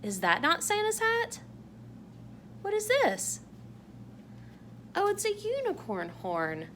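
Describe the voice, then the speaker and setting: A woman reading a picture book aloud to young children in a playful, animated way, asking exaggerated wondering questions. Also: very high voice, takes it slowly, slight background noise. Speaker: children's read-aloud host.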